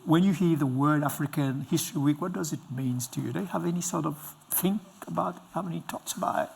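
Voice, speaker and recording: A man's voice: fairly high pitch, quick pace, vocal fry, slight background noise. A middle-aged man hosting a conversational interview in an encouraging, reflective way. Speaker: interviewer, host. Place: indoor stage with dark curtains.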